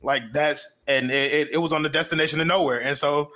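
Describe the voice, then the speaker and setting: A man's voice: on the high side, fairly even intonation, fairly quick, sharp tone. A man on a sports podcast panel giving his opinion casually, with stammered restarts.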